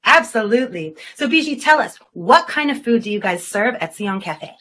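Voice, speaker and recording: Effusive, bright-voiced woman hosting. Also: on the high side, animated, normal speed. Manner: effusive, gushing. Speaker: talk show host. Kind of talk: upbeat interview show presenting.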